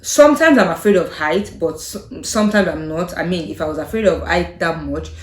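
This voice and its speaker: A young Nigerian woman, a native Yoruba speaker, chatting casually to camera in her vlog with a Nigerian accent, thoughtful and hesitant, often trailing off softly. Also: on the low side, brisk. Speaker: vlogger. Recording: echoey room.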